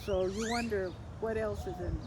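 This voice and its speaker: A woman's voice: medium pitch, steady tone, normal speed, a loud crowd. An older woman telling personal stories informally, reflective and hesitant, mixing in Spanish phrases. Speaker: storyteller. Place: outdoors. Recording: distant mic, loud outdoor ambient noise.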